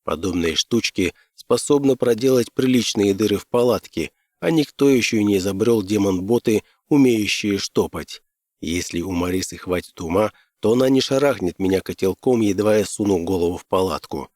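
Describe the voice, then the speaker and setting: A man's voice: fairly low pitch, moderate pace, a little mellow, no room sound, studio quality. A man reading scripted first-person fiction as an audiobook narrator.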